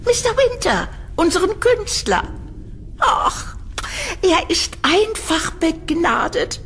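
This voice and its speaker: A woman playing a condescending older landlady in an audio horror drama, sugary and dismissive, with a patronising, drawn-out delivery. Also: very high voice, sing-song, a bit bright, a little hiss or hum, full character voice.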